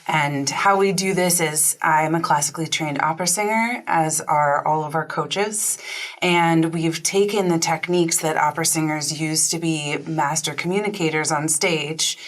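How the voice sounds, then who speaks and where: A woman voice coach and trained opera singer, speaking as a studio guest with measured, articulate explanations. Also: low-pitched, steady tone.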